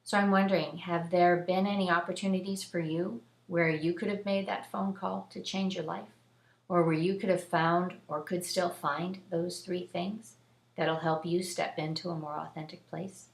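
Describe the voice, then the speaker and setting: A woman with a fairly low voice shares a first-person story as a host. Her delivery is conversational and earnest, with emphasis on key phrases. The recording has a clear room echo around her voice. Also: steady tone, normal speed. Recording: echoey room.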